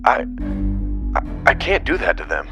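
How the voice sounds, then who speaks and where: A man's voice: low voice, wildly swooping pitch, moderate pace, sharp tone, faint music behind, exaggerated voice. A man voicing a small, earnest, defensive tavern owner in an audio drama, emphatic and pleading. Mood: upset, defensive.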